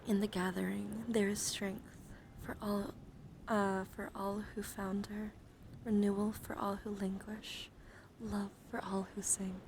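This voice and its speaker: A woman livestream host speaking in a soothing, mostly whispered ASMR style, breathy and casual, with playful encouragement. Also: medium pitch, little pitch movement, measured pace, a little mellow, a little hiss or hum. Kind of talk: whispered ASMR card reading with casual chat.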